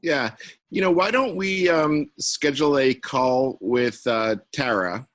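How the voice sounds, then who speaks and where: A man's voice: medium pitch, takes it slowly, fairly bright tone, dry recording. A man taking part in a casual work call, hesitant and searching for his words as he talks. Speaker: meeting participant. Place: online conference call.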